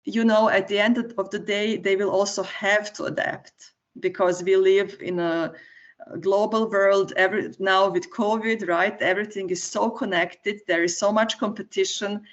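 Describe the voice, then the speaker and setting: A woman's voice: mid-range voice, muffled recording. A woman from Serbia, a guest on a podcast, explaining her views and often ending points with a questioning "right?". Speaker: guest, entrepreneur. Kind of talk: podcast interview answer. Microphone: remote call.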